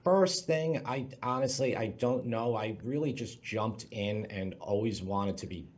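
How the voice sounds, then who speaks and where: Low-voiced New man, casual. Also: a little slow, darkish tone. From Long Island, New York. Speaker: podcast guest. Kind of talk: casual conversational recollection.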